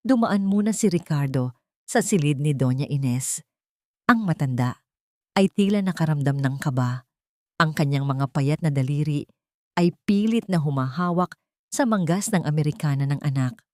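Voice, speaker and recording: A woman's voice: fairly low pitch, a little mellow, no room sound, studio quality. A woman narrating a scripted audio drama in a solemn, suspenseful storytelling manner.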